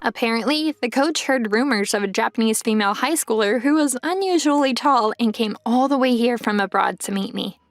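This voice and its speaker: A woman's voice: on the high side, brisk, few pauses, no room sound, soft background music, natural voice. A woman playing a very tall, insecure young woman turned pro basketball player, narrating her own story in an anime-style dub. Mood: reflective.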